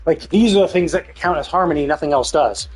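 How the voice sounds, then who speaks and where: A man with a slightly high, husky voice talks casually through a game on a live stream. He reasons things out loud in an explanatory way and swears mildly. Faint music plays behind him. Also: a little fast. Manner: thinking aloud, explanatory, mildly profane. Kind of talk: casual live gameplay commentary.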